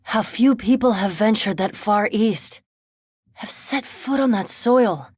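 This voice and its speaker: An actress playing a cool, scholarly, curious vampire animancer in a fantasy video game, speaking formally and precisely with a dry, reserved manner. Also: female voice, medium pitch, slow, a little mellow, breathy edge, no room sound. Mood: reflective.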